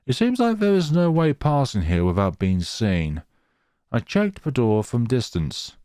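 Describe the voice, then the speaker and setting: A man reading a horror visual novel aloud in a theatrical, halting way, with casual asides. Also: medium pitch, sing-song, dark tone, clear, resonant tone, no echo, studio quality.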